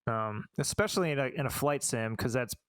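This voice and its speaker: A man in a casual game chat, talking conversationally and a little haltingly as a guest team member. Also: mid-range voice, animated, slight background noise. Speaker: fan translation team member. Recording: loud music over the voice. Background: loud music.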